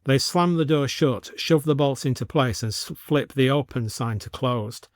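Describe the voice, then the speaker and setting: A man's voice: medium pitch, normal speed, no echo. A man narrating a scripted supernatural story.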